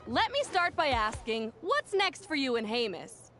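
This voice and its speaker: An actress playing an upbeat female tournament announcer in a video game, interviewing the finalists in a bright, showy way. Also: sits high, big pitch swings, unhurried. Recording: game audio with music underneath.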